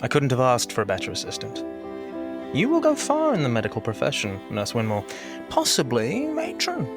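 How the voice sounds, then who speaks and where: A man's voice: mid-range voice, wildly swooping pitch, normal speed, over music. An actor playing a fatherly, courteous Victorian doctor, speaking formally and reassuringly in a period audio drama. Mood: grateful, encouraging.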